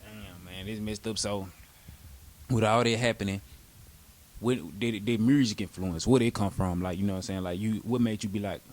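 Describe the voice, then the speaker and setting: A male interviewer, asking relaxed questions and nodding along with short replies. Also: lowish voice, moderate pace. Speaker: interviewer host. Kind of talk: casual interview.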